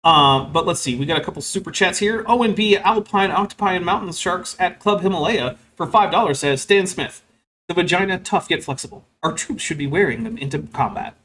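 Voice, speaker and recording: A man's voice: high voice, fairly quick, a bit bright. A man hosting a stream, reading super chats and commenting on a clip in a casual, wry way, filling his talk with "like" and "um". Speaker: host.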